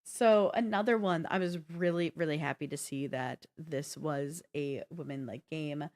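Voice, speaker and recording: A woman's voice: low-pitched, moderate pace, a little mellow. A woman co-hosting a video game podcast, chatting casually and explaining things in an informal way. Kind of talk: casual gaming talk show chat.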